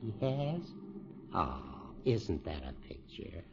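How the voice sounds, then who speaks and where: An actor playing a genial older gentleman with a husky voice in a 1950s radio comedy. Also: fairly low pitch, very animated, takes it slowly, slight background noise, full character voice. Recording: dull vintage radio recording.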